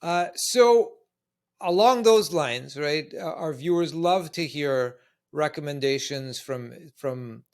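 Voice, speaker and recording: A man's voice: a little high, takes it slowly. A man from Canada hosting a casual podcast interview and putting questions to a guest.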